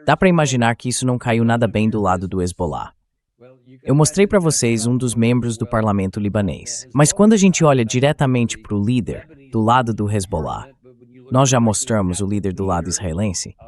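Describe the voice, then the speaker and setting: A man's voice: on the low side, animated, normal speed, a little mellow. A man with a Brazilian accent reading a translated voice-over of political news commentary as the show's host.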